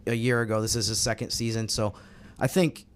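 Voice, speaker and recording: A man's voice: on the low side, flat delivery, a little fast, slight background noise. A man hosting a sports podcast, talking through analysis in a conversational way. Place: studio roundtable.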